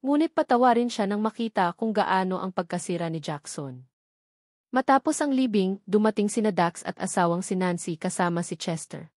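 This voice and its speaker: A woman's voice: mid-range voice, darkish tone, no echo, studio quality. A woman reading a novel aloud as a scripted story narration. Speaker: audiobook narrator.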